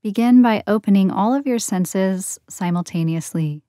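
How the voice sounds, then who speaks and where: A woman leading a guided meditation in a soothing, gentle, unhurried manner with long pauses between phrases. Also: medium pitch, no room sound, clean studio sound. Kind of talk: guided yoga nidra meditation.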